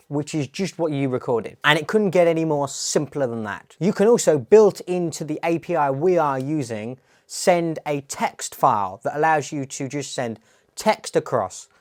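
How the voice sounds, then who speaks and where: A man presenting a tech tutorial, explaining a demo in a plain-spoken, step-by-step way. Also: fairly high pitch.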